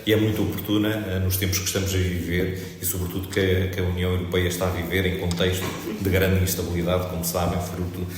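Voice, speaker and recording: A low-pitched middle-aged man from northern Portugal explains economics as an interview guest, careful and unhurried, with many small hesitations. His voice rings in a bright, reverberant room with a long tail. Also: little pitch movement, slight background noise. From Portugal, Trás-os-Montes. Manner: measured, explanatory, with frequent hesitations. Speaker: economics professor, podcast guest. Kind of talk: academic interview answer.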